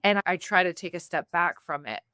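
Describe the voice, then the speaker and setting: A woman from the United States hosting an interview, talking in a candid, reflective way with quick bursts. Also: lowish voice, expressive, pushed and bright, no room sound, studio quality. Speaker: podcast host. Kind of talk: conversational interview.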